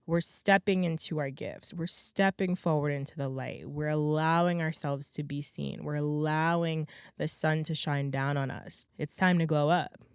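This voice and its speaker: Slightly hoarse woman hosting a chatty podcast. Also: sits low, fairly even intonation, normal speed, dry recording, studio quality. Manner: chatty, emphatic, peppers talk with "right?" and "okay?". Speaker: podcast host. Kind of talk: casual motivational podcast monologue.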